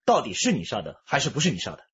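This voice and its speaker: A man's voice: medium pitch, wildly swooping pitch, very fast, a bit bright, husky, lo-fi sound, exaggerated voice. An actor playing a shrewd male police detective in a radio drama, with a wry, probing manner.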